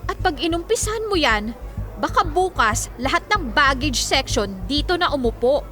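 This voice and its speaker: An actress playing a snobbish young office bully in a Filipino radio drama, sneering with disgust and mockery. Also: female voice, high-pitched, expressive, moderate pace, sharp tone. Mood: mocking. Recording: music bed under dialogue.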